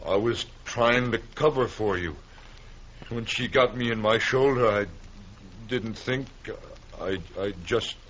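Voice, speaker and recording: An actor playing a boozy, careless railroad surveyor in a 1950s radio western. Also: male voice, mid-range voice, slow, a bit bright. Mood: shaken, defensive. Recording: dull, faintly noisy 1950s radio recording.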